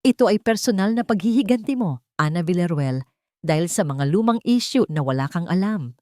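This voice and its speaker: A woman's voice: fairly low pitch, animated, a little fast, mellow, no room sound, studio quality, natural voice. A woman storyteller voicing a haughty, wealthy villainess who accuses indignantly in an audio drama. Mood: furious, accusing.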